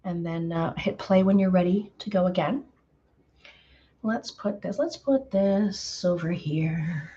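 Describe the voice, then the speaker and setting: A slightly hoarse woman hosting a live painting tutorial, chatty and greeting viewers by name with lots of ums. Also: lowish voice, slow pace, mellow, muffled recording. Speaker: painting instructor.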